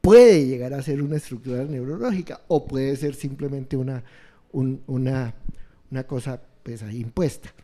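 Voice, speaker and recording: A man explaining ideas on education in an interview, halting and restarting often as he searches for words. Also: medium pitch, warm tone. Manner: slow, halting, many restarts and fillers. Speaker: education expert guest.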